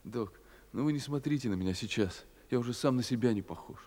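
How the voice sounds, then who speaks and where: In a long, echoing hall, an actor plays a frightened male prisoner in a stage-like drama. He is naive and rambling, pleading in colloquial speech at a middling pitch. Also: fast, darkish tone, natural voice. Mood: plaintive. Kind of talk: theatrical dramatic acting in Russian. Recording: long reverberant hall echo.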